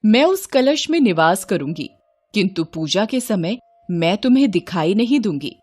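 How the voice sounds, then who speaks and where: A woman storyteller voicing a gracious goddess who gives gentle, formal instructions in a devotional tale. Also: medium pitch, very animated, moderate pace, dry recording, soft background music. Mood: kindly, instructing.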